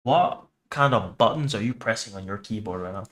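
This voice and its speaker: A man's voice: on the low side, expressive, a little fast, fairly bright tone, soft background music. A man hosting a reaction livestream, chatting casually and bluntly with viewers, sometimes swearing and sometimes reading text aloud. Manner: sweary, blunt, teasing banter with chat. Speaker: livestream host reacting to horror videos. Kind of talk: casual stream chat mixed with reading on-screen text aloud.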